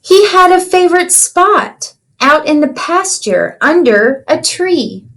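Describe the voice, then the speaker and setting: A woman's voice: very high voice, sing-song, slow pace, a bit bright. A woman reading a children's storybook aloud like a teacher, explaining things simply and checking in with young listeners.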